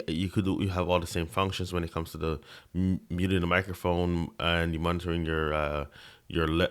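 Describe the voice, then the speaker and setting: A man giving a casual tech review at his desk, talking into a desk microphone, often stuttering and repeating words. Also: low voice. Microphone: desk microphone under review.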